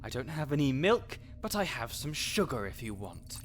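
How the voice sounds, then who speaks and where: A man's voice: medium pitch, very animated, moderate pace, background noise, close to an everyday voice. Man acting a grouchy, sarcastic necromancer. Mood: dry, explanatory. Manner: sarcastic, indignant, wordy. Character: grouchy male necromancer researcher, exasperated, fussy and sarcastic. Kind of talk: scripted audio roleplay addressed to the listener.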